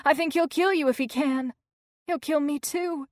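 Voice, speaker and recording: A scared teenage girl on the run, as a woman reads her, talking in rough, broken grammar. Her voice sits high and tight in a dry, close storybook reading. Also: moderate pace, no room sound, lightly acted. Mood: frightened. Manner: rough, ungrammatical speech. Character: frightened teenage girl, battered runaway.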